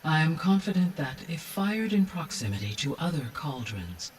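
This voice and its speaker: A man acting a calm, analytical artificial intelligence with precise, measured, formal delivery in a video game. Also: fairly high pitch, recorded from a distance. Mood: calm, clinical.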